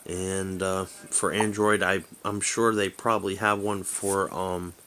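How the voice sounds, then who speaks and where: Low-voiced man, casual rambling app demo. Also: little pitch movement, a little slow, fairly bright tone, a little hiss or hum. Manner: rambling, hesitant. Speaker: amateur radio hobbyist presenting an app review.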